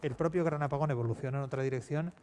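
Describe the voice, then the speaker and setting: A man with an accent from Spain speaking unscripted on a panel in a halting, self-correcting way, as an audio fiction writer. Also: medium pitch, a little mellow. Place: public round-table event. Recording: clear background noise, live event ambience.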